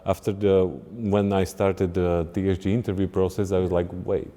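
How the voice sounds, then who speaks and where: A middle-aged man from Slovakia, a game design lead answering interview questions in halting English, often restarting mid-sentence. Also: low voice, fairly even intonation, mellow, big-room sound.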